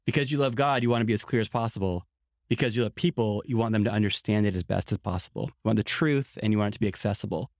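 A man's voice: on the low side, quick pace, dry recording, studio quality. A man who pastors a church in Ireland, talking earnestly in a podcast conversation and often saying "like". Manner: earnest, emphatic, peppered with "like".

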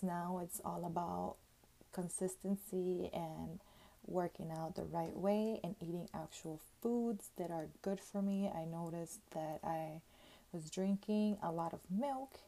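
A woman's voice: fairly low pitch, gravelly, mellow, rough recording. A woman in her thirties hosting a casual gossip podcast, chatty and loose, with lots of "like" fillers and casual swearing. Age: 30s. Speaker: podcast host.

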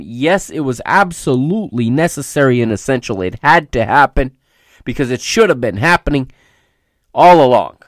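Slightly raspy, emphatic male podcast host. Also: fairly high pitch, dry recording, clean studio sound. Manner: emphatic and halting, often checks in with "okay?". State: slightly raspy voice recovering from illness. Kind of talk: unscripted solo sports commentary.

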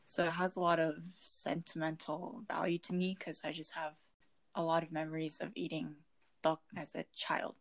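A teenage girl from China and South Korea co-hosting a student podcast, speaking in a relaxed, reflective way. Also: low-pitched, normal speed, vocal fry. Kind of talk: casual podcast narration.

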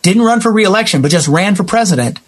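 An American man talking casually about politics in an emphatic, explanatory way, repeating phrases for stress, with a husky voice. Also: high-pitched, animated, fast talker.

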